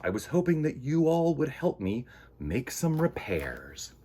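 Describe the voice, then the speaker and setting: A man's voice: medium pitch, huge pitch swings, unhurried, warm tone. Man as a friendly, goofy little space alien. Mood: friendly, pleading. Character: friendly green three-eyed, two-mouthed space alien.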